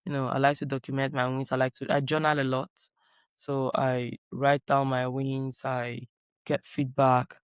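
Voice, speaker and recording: A woman guest in a conversational interview, reflective and calm, repeating her key phrases for emphasis. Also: extremely low pitch, moderate pace, clear, resonant tone, no room sound, studio quality. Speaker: guest, doctoral researcher.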